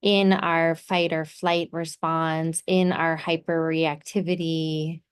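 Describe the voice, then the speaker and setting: A woman leading a guided meditation in a soothing, gently instructive way, pausing between short phrases. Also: deep voice, little pitch movement, smooth.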